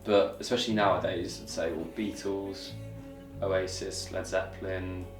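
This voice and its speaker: A man's voice: low voice, little pitch movement, measured pace. A nineteen-year-old man, talking casually and trailing off, saying "like" often. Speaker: band's drummer. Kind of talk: documentary interview. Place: band practice room. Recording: echoey room, far from mic, music behind.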